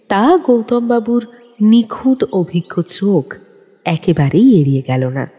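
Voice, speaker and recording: A woman's voice: mid-range voice, warm tone, husky. A woman narrating a detective story from a script in a dramatic style. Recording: long studio reverb, music underneath.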